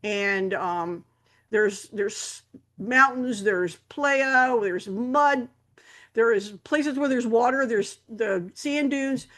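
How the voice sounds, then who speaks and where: Woman, club host, fairly high voice, meandering announcements full of fillers. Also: drawn-out words, fairly bright tone. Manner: rambling, many fillers and restarts. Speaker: camera club meeting host.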